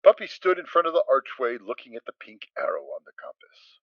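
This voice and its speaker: A man's voice: mid-range voice, wildly swooping pitch, a little fast, no echo. A man reading scripted story narration as a narrator, with a theatrical storytelling delivery. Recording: dry, slightly dull home recording.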